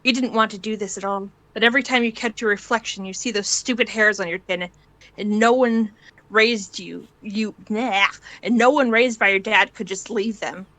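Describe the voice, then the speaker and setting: A woman's voice: mid-range voice, normal speed, pushed and bright, no echo, low-quality recording. A woman narrating a piece of fan fiction in the second person, as an amateur reader.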